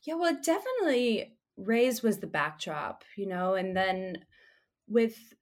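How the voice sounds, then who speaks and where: Relaxed, chatty hoarse woman. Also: mid-range voice, a little slow. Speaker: interview guest. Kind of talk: casual interview chat.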